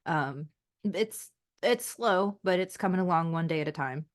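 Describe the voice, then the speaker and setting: Woman, fairly low voice, chatty, dry humor, podcast co-host. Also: normal speed. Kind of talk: casual podcast chat.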